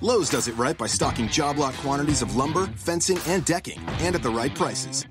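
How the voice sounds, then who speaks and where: A man reading a scripted radio commercial in an upbeat, steady announcer delivery. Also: on the high side, a little fast, music in the background.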